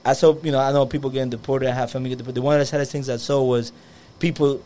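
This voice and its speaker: A man's voice: mid-range voice, fairly even intonation, racing, dark tone. A man in his thirties, originally from Colombia, talking candidly as a guest on a casual podcast, with frequent restarts. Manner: candid storytelling, laughs at his own slips. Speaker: guest film director.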